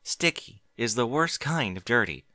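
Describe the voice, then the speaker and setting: A man's voice: medium pitch, big pitch swings, moderate pace, sharp tone, dry recording, studio quality. A man voicing a fretful, bookish young pony mare, anxious and easily flustered. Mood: weary.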